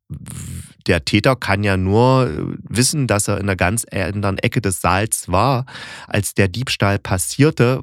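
Low-voiced man, casual podcast host. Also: no room sound, clean studio sound. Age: adult. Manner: chatty, colloquial, thinking aloud. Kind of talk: casual solo podcast review.

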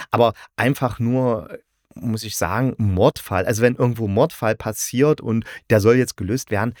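A man's voice: fairly low pitch, no echo, studio quality. A man giving an informal, opinionated solo review as a podcast host, speaking conversationally and directly to his listeners.

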